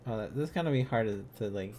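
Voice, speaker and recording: A man's voice: fairly low pitch, lively intonation, fast talker, mellow, soft background music. A man hosting a casual livestream, thinking aloud as he studies, in a rambling, silly, exclaiming manner. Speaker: livestream host.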